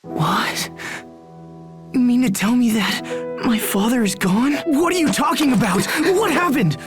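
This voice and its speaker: A woman's voice: on the high side, expressive, measured pace, soft background music. A woman playing an earnest, impulsive young male knight in a video game, speaking directly and emotionally. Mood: shocked, distraught. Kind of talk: English video game voice acting.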